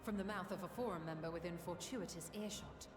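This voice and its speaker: A woman's voice: on the low side, fairly quick, natural voice. A woman playing a proud, eloquent young noblewoman in a game cutscene, with formal, pointed delivery. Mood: composed. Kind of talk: video game cutscene acting. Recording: echoey hall reverb, music underneath.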